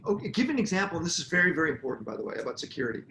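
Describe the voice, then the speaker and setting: Animated jokey guy cohosting a couples chat, voice jumps all over, echoey room. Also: on the high side, racing, creaky, a little hiss or hum. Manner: jokey, animated, asks his partner to explain. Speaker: podcast co-host. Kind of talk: casual chat. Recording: echoey room, faint ambience.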